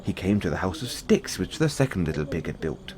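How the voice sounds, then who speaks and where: A man narrating a bedtime fairy tale as a storyteller, in a simple, measured read-aloud delivery. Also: low voice, sing-song, crowd noise.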